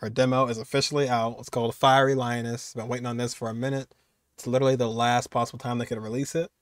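A man's voice: mid-range voice, flat delivery, quick pace, no room sound, studio quality. A man, giving informal, conversational reaction commentary. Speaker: reaction video host. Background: music.

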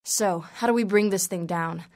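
A woman playing a cocky, sardonic half-vampire heroine in a video game, with wry deadpan taunts. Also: on the low side, moderate pace, natural voice. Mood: wry, determined. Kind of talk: video game cutscene acting.

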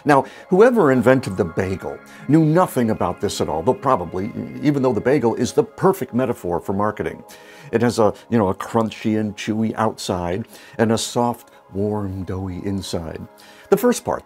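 A man in his fifties hosting a marketing show, speaking to camera in a playful, lively, gently joking way on a lapel mic in a studio. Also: lowish voice. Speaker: marketing coach and show host. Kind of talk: scripted talk to camera. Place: bright studio set.

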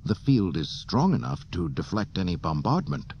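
A man's voice: sits low, sing-song, gravelly, a little mellow, full character voice. Man voicing careful, deferential imperial general. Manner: formal, measured military report. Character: deferential, careful male imperial general reporting to his master. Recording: dull cassette transfer with hiss.

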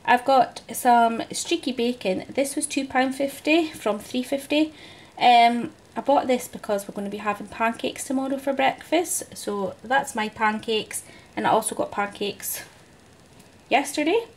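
A woman, chatting casually through a grocery haul voice-over, relaxed and full of ums. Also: a little high, measured pace. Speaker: vlogger.

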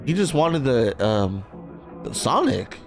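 Young guy doing movie reactions, super animated, takes his time, swears a lot, music behind him. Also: mid-range voice, slow. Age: young adult. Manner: slangy, profane exclamations. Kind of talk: casual excited reaction commentary. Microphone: close boom-arm studio microphone, headphones.

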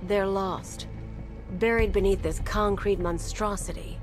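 A woman's voice: mid-range voice, steady tone, unhurried, music in the background, close to an everyday voice. A woman voicing a bitter, mournful plant-loving villainess in a video game, solemn and dramatic.

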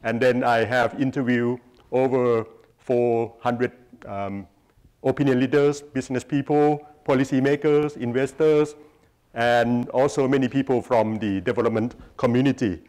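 A middle-aged man from Thailand with a Thai accent, giving a public lecture with hesitant pauses mid-phrase. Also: medium pitch, fairly even intonation, darkish tone. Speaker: guest speaker, research institute president. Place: lecture hall, on stage before seated audience.

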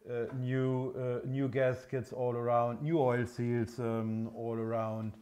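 A man in his fifties with a continental European accent, a non-native English speaker explaining things off the cuff in a halting, hesitant way as a workshop presenter. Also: medium pitch, flat delivery, warm tone. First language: not English. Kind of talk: unscripted product explanation. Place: indoor engine workshop showroom.

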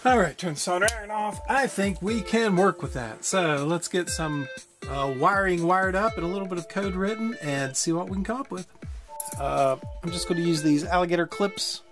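A man's voice: high-pitched, moderate pace. Man casually narrating an electronics build, music behind. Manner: informal, thinking aloud as he works. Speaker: maker hosting an electronics tutorial. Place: indoor electronics workbench.

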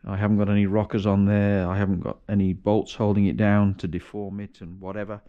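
A man with a lowish voice talks through hands-on work in a big indoor garage workshop. He thinks aloud as he goes, often restarting and correcting himself. The sound comes from a camera strapped to his chest. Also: level tone, a little fast, phone-quality sound. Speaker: home mechanic vlogger. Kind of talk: casual workshop narration. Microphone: chest-mounted action camera.

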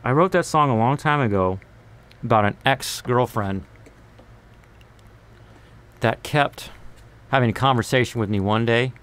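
A man's voice: medium pitch, fairly bright tone, clean tone. A man in his thirties chatting casually and informally on a live stream, rambling a little, recorded close on a studio mic in a small bedroom. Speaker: live streamer and singer-songwriter. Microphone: large condenser mic on boom arm, close.

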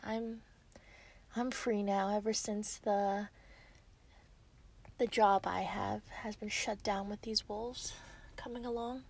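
Woman acting a slow, breathy yandere werewolf girl. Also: medium pitch, fairly even intonation, full character voice. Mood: falsely sweet. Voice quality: breathy, often dropping to a whisper. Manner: slow, teasing, giggly. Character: obsessive female yandere werewolf, secretly murderous, sweetly possessive. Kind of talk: ASMR roleplay acting.

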